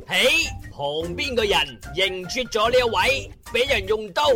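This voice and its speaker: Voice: mid-range voice, animated, a little slow. 配乐中讲故事的粤语旁白. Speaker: storytelling narrator. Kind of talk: animated comic scripted narration for internet radio drama. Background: music.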